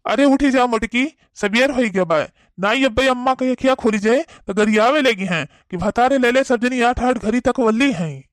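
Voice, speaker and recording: Man voicing a high-pitched, bossy cartoon village husband. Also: normal speed, few pauses, no room sound, clean studio sound. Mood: impatient nagging. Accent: Awadhi. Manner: nagging, commanding. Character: bossy, impatient village husband nagging his wife. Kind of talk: cartoon comedy dialogue.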